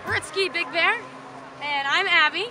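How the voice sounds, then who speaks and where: Peppy young woman vlogging at a ski hill, high voice, music under it. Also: normal speed. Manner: bubbly, excited. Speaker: resort video host. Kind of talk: upbeat promotional vlog. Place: outdoors at a ski area.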